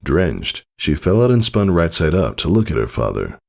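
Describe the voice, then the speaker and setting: Male story narrator: low, gravelly register, expressive dramatic reading. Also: moderate pace. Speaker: audiobook narrator. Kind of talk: scripted narration of fan fiction, dramatic storytelling.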